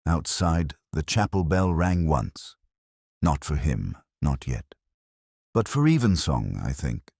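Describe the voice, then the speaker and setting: Deep male narrator, dry close sound, measured and reflective, lively intonation, scripted mystery read. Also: dry recording.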